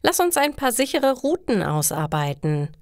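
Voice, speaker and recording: An eager young pizza courier from a storybook, played by a woman, speaking in lively, swooping phrases. The delivery is emphatic and quick to bristle, lifted in pitch, in a very dry recording. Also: no echo. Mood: indignant, keen. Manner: animated, emphatic questions and retorts. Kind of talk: learner storybook dialogue.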